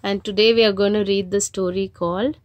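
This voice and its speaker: A woman's voice: mid-range voice, fairly even intonation, fairly quick, no room sound. A woman reading a picture book aloud to young children at home, putting questions to them as she goes. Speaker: storybook reader and host of children's story time. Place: home bedroom, indoors.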